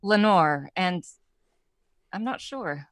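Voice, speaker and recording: An actress playing a quiet, guarded woman who gives terse, reserved answers in an audio drama. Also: on the low side, lively intonation, slow pace, dry recording, studio quality.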